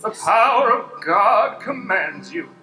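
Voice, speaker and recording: A man's voice: sits high, lively intonation, slow, bright, forceful tone, soft background music, heavily acted. A man playing a God-fearing gunslinging parson in an improvised Western radio play, declaiming solemnly in folksy frontier phrases on an echoey, noisy stage. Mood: solemn, gracious. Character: God-fearing male gunslinging parson of the Old West, righteous. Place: live improv stage with audience. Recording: echoey stage hall, background noise.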